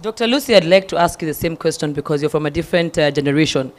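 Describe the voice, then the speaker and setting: A Kenyan man with a high, bright-sounding voice leads a forum discussion. He is animated, repeats himself as he builds a point, and drops in Swahili phrases as he moderates. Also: rapid-fire. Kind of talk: panel moderation at a town hall. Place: university hall forum.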